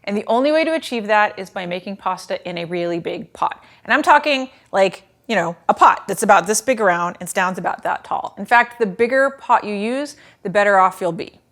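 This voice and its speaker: A woman in her thirties hosting a casual cooking lesson in a home kitchen, chatty and animated, with lots of fillers. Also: mid-range voice, fairly quick, hardly any pauses. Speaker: cooking show host.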